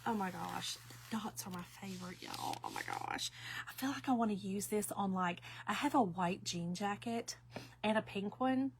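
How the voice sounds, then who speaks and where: A woman's voice: medium pitch, normal speed, creaky, a little hiss or hum. A woman in her fifties giving a chatty, folksy crafting tutorial, often talking to viewers as y'all. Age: 50s. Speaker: craft demonstrator.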